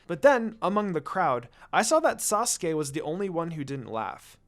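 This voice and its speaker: A man's voice: on the high side, very animated, moderate pace, fairly bright tone. A man voicing a passionate, hot-tempered young ninja girl with a crush, in an emphatic, gushing manner, for a story reading. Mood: wistful, fond. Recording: music under the voice.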